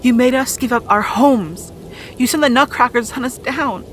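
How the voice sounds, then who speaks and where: High-voiced, earnest young princess played by a woman. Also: moderate pace, fairly bright tone, a little hiss or hum, close to an everyday voice, rough recording. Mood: hurt, angry. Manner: pleading, emotional. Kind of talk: fantasy audio drama acting.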